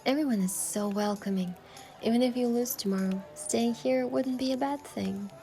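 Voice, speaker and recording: A woman voicing a playful, tender young heroine who narrates her own romance in a game with soft, lyrical storytelling. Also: on the high side, normal speed, natural voice. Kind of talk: scripted first-person narration and dialogue in romance game. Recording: music behind the voice.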